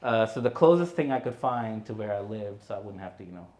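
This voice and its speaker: A man, a filmmaker guest on a podcast, telling his story in a relaxed way full of "you know" and "right". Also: on the low side, fast talker, roomy sound. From India. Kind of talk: conversational podcast interview.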